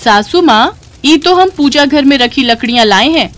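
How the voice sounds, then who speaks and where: A woman's voice: high-pitched, lively intonation, normal speed, a bit bright, voice pushed up. A woman voicing a brash, cheeky young village daughter-in-law in a folksy, exclamatory way with a rustic Banaras accent, for a story. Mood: pleading.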